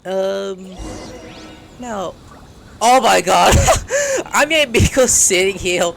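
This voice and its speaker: A woman doing playful, casual gameplay commentary in an excitable, giggly, exclamatory way. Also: medium pitch, extremely slow, vocal fry. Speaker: gamer reacting to a story quest. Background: game music.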